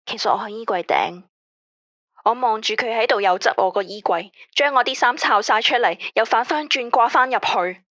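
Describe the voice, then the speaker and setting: A woman with a Hong Kong Cantonese accent playing an anxious, obsessive late-night radio caller who talks in a quiet, flat, unsettlingly matter-of-fact way. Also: fairly high pitch, dry recording, clean studio sound, lightly acted. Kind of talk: radio drama acting.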